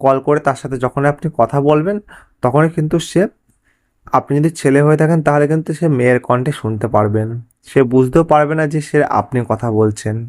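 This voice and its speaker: A man's voice: mid-range voice, brisk, a little mellow. A man hosting a step-by-step app tutorial, addressing viewers directly in an instructional way. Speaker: tech tutorial host.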